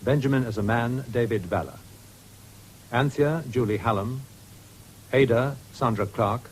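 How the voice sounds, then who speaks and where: A man reading formal, measured radio credits on a 1970s broadcast recording. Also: medium pitch, a little hiss or hum, rough recording. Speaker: radio announcer. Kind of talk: formal scripted cast credits.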